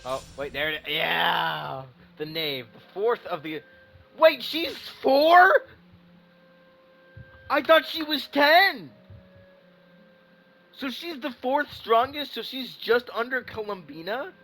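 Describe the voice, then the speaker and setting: A man giving casual live reaction commentary as a gaming streamer, excitable, repeating himself as he thinks aloud. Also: very high-pitched, takes it slowly, creaky, long pauses.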